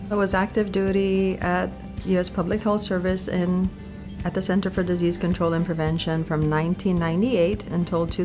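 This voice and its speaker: A middle-aged woman from Puerto Rico, a physician, talking about her career in a thoughtful, measured way with small pauses. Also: lowish voice. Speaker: infectious disease physician. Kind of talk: profile interview monologue. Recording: clear music bed under the voice.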